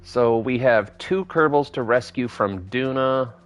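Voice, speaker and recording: A man's voice: medium pitch, a little slow, faint music behind. A man in his thirties giving casual, rambling commentary while playing a space game, thinking aloud as he builds. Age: 30s. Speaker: game streamer.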